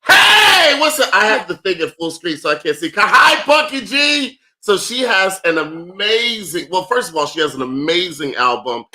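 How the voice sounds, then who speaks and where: Excitable thirtyish male comic on a video call, bright tone, big exclamations. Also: sits high, moderate pace, hardly any pauses. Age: 30s. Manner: exuberant, theatrical, bursts of loud exclamations. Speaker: guest comedian. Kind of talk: excited casual chat.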